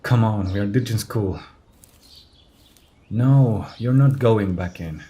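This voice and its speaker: A man playing a gruff teenage school bully with a protective, flustered side in a scripted audio roleplay. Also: fairly low pitch, slow, dark tone. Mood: flustered, apologetic. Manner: brusque, tender underneath.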